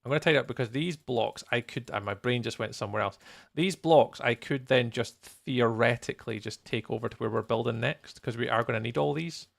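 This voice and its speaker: A man's voice: medium pitch, brisk, gravelly. A man chatting casually on a gaming livestream, thinking aloud in a playful, rambling way. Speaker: live streamer, host.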